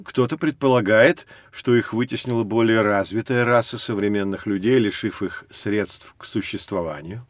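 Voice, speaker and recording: An actor playing a learned professor, explaining in a deliberate storytelling way in an educational audio drama. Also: male voice, fairly low pitch, no echo, close to an everyday voice. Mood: patient, instructive. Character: learned male professor guiding children through prehistory.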